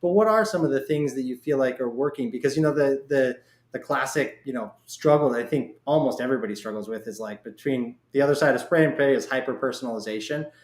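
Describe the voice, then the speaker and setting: A man's voice: medium pitch, fast. A man hosting a casual podcast interview, speaking informally and circling around his questions in a rambling way. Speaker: podcast host. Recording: echoey, roomy sound.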